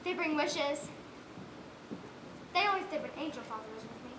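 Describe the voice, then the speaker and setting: A woman's voice: high voice, expressive, moderate pace. A young female performer playing an earnest, fanciful orphan girl in a stage play, with quaint, wide-eyed phrasing. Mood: curious, innocent. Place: stage in a roomy hall. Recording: noisy, echoey stage performance recording.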